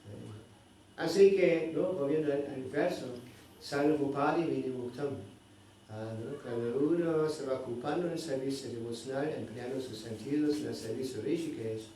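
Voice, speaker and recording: Mellow-voiced man teaching in an echoey hall. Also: mid-range voice, normal speed. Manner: searching for words, constant "¿no?" tags. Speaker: spiritual teacher. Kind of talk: scripture class. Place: indoor temple hall. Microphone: microphone at a distance. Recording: very echoey hall, distant mic, faint noise.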